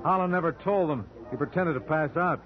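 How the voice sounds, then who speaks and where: An actor playing a cynical, wisecracking American lawyer-sleuth in an old-time radio detective drama, with a dry, sardonic delivery. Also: male voice, a little high, brisk, natural voice. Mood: explaining. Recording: dull old radio broadcast with music.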